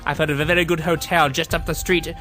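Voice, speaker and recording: A man's voice: fairly high pitch, flat delivery, fast, music in the background, lightly acted. Man as a fussy, stammering older professor. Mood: flustered. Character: fussy, weary older professor, cautious and caring. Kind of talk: amateur audio drama acting.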